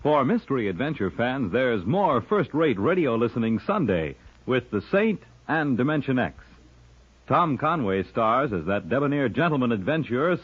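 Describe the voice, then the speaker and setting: A man's voice: medium pitch, breathy edge. A man reading a scripted promotional announcement as a polished, dramatic 1950s radio network announcer. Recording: muffled old-time radio recording.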